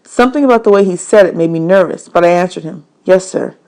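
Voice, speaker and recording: A woman with a slightly low voice narrates a children's novel. She reads expressively like a teacher reading aloud, placing deliberate emphasis on key phrases. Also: darkish tone, rough recording.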